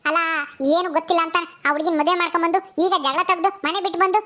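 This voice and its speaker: A woman's voice: very high voice, fairly even intonation, very fast, a bit bright, voice pushed up. A woman voicing a blunt, grudging village woman in an acted rustic story, with a brusque, scolding manner. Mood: scolding, reluctant.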